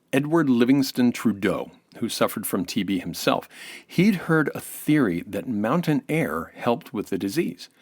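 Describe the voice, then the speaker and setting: A man's voice: mid-range voice, no echo, studio quality. An American man as an interview guest, giving an affable, informative explanation in a semi-scripted style.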